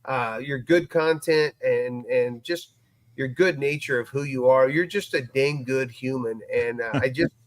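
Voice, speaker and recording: A man's voice: medium pitch, measured pace, no room sound, low-quality recording. A man chatting casually on a podcast recap in an upbeat, encouraging way. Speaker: podcast guest.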